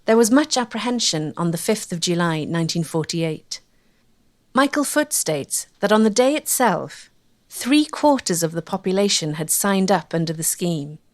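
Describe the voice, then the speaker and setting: A woman's voice: lowish voice, expressive, normal speed. A woman narrating a history documentary from a script.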